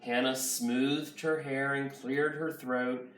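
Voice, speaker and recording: A man's voice: medium pitch, fairly even intonation, takes it slowly, fairly bright tone. A man reading a children's picture book aloud as a nursery school teacher. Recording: roomy, far from mic, faint noise.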